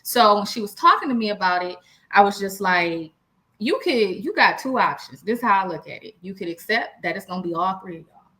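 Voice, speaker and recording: Woman, American accent, sharp bright voice, medium pitch, frank slangy delivery, emphatic, casual podcast co-host. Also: expressive, brisk, low-quality recording. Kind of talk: casual relationship chat with anecdotes.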